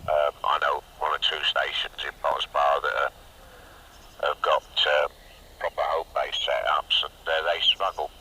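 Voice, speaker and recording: A man's voice: a bass voice, level tone, measured pace, sharp tone, slightly rough. A man chatting casually as a radio operator, heard over a two-way radio. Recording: slightly noisy CB radio reception.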